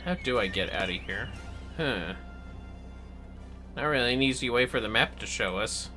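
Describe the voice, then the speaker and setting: A man's voice: medium pitch, lively intonation, normal speed, over music. A man giving casual, playful, sweary commentary on a gaming livestream, prone to exclamations.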